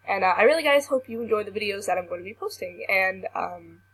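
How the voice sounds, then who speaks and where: A young woman with a husky, breathy voice, worn down and hoarse from being sick. She reads a creepy tale aloud at a middling pitch, now and then stumbling and correcting herself. She also chats casually and directly to her viewers. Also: lively intonation, fairly bright tone, low-quality recording. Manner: halting reading that stumbles and restarts words. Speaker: YouTuber. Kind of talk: reading a creepy story aloud, then casual vlog chat.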